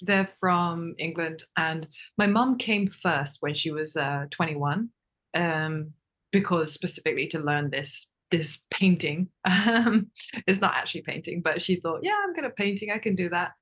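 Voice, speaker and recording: A young woman raised in Japan talks as a podcast guest in a loose, rambling way. Her voice sits a little low, and she fills her talk with "like" and "um" and soft laughs. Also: unhurried. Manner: chatty, many fillers and laughs. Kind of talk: casual interview chat.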